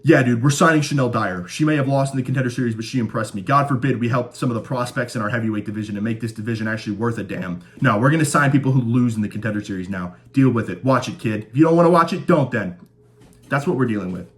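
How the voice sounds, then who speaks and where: A man's voice: mid-range voice, quick pace. A young man hosting a casual fight prediction show, joking and rambling, slightly stuffed up from a cold. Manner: laid-back, joking, rambling with fillers. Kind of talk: casual sports prediction chat.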